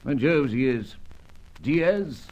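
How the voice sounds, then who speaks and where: Hearty old British spymaster, swooping husky voice. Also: male voice, mid-range voice, lively intonation, slow, a little mellow, lo-fi sound. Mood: grave. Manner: plummy, clubby old-school speech. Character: older British secret service chief, hearty and avuncular. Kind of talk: old-fashioned radio serial acting.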